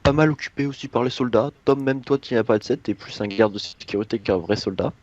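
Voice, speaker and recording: A man acting as game master of a role-playing game, explaining the scenario haltingly. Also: mid-range voice, darkish tone, no room sound, lo-fi sound.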